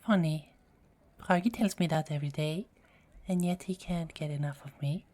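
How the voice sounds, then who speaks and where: A woman's voice: low voice, a little mellow. A woman playing a teasing, self-assured and soothing Norse goddess in an ASMR roleplay audio drama. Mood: playful, teasing. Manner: teasing, chuckling, unhurried.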